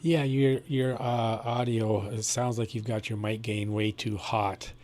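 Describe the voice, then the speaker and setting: A man's voice: lowish voice, flat delivery, a little slow, a little mellow. A man working as an amateur radio operator, talking in a plain, explanatory, unscripted way during a radio contact. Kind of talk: unscripted ham radio conversation, technical advice. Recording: clear background noise and electrical hum.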